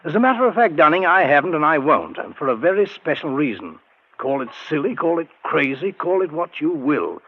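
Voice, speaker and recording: Fretful husky society secretary. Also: male voice, on the high side, sing-song, fairly quick. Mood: uneasy, confiding. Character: fretful, blustering British scientific society secretary. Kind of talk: 1940s radio drama acting. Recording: dull lo-fi vintage radio recording.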